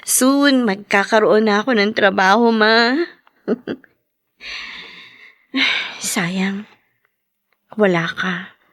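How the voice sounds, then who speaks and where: An actress playing a young woman, a new graduate and affectionate daughter, speaking softly and tenderly. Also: mid-range voice, slow, no room sound, studio quality. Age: young adult. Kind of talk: audio drama acting.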